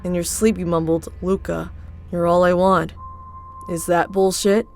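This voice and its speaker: A woman's voice: fairly low pitch, flat delivery, measured pace, over loud music. A woman voicing a cold, accusing teenage boy. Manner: cool, accusing.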